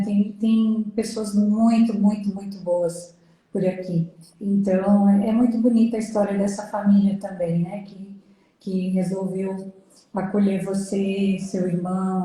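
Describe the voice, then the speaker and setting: Older Brazilian woman interviewer, mellow tone, heavy room echo on a video call. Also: medium pitch. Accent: Brazilian Portuguese. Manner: warm, encouraging, with frequent backchannel murmurs. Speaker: interviewer, retired judge and lawyer. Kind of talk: conversational interview. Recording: very echoey room.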